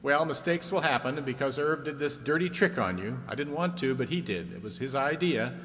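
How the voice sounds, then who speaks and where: An actor playing a chatty, teasing 1950s radio game show host. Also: male voice, medium pitch, expressive, fairly quick, a bit bright, close to an everyday voice. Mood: teasing, amused. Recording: echoey hall with long reverb, faint noise.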